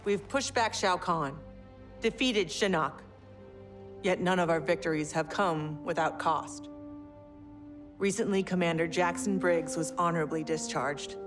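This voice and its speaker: An actress playing a stern middle-aged special forces general in a video game, formal and commanding, with an American accent. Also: female voice, on the low side, a little slow, natural voice. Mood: solemn, commanding. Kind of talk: cinematic video game acting. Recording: loud music behind the voice.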